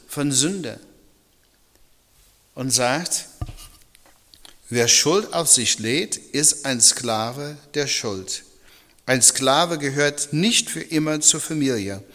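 A middle-aged male pastor, a native English speaker, preaches with a bright, forceful voice. He speaks haltingly and reflectively, in a personal way. Long hall reverb surrounds his fairly high voice. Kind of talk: sermon in German.

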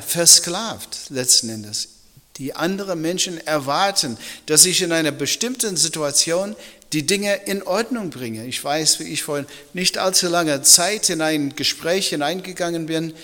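Bright-voiced preacher in a reverberant hall. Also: male voice, fairly high pitch. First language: English. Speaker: pastor. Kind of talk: sermon in German. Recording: long reverb of a large hall.

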